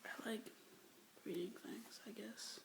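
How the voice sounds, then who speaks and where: A young woman whispering love poems aloud with hesitant, rambling asides between them, breathy and soft, close to a phone or webcam mic. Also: medium pitch, fairly even intonation, slow. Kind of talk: whispered poetry reading, ASMR-like.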